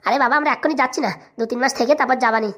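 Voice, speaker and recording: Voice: medium pitch, rapid-fire, a bit bright, lightly acted. A performer voicing a grumbling, teasing rustic married man in a comedy cartoon, with blustering banter and a rural Bengali dialect.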